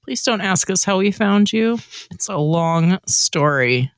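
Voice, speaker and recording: A woman's voice: fairly low pitch, animated, measured pace, no room sound, clean studio sound. A woman playing a loyal, frank friend in an amateur comic radio play, casual and wry. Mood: wry, supportive.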